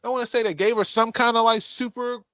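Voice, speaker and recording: A man's voice: extremely high pitch, steady tone, fast, fairly bright tone, smooth, dry recording. A young adult man co-hosting a podcast, giving opinions in casual talk and swearing freely. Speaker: podcast co-host. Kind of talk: casual film discussion.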